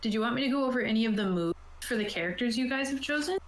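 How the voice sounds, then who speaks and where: A woman's voice: fairly high pitch, rapid-fire. A woman talking casually as a game master, explaining rules and options to players. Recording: roomy echo, light background noise.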